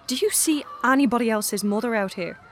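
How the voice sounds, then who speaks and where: A woman's voice: a little high, a little fast, a little hiss or hum, lightly acted. A woman playing a sullen, scornful teenage schoolboy in an audio drama, curt and sarcastic. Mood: sullen embarrassment.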